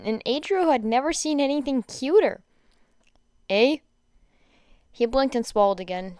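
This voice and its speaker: A woman reading fan fiction aloud in an informal, expressive way, stumbling over words now and then. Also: a little high, clear, resonant tone, dry recording, studio quality. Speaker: podfic reader. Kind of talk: amateur fan fiction reading with chatty asides.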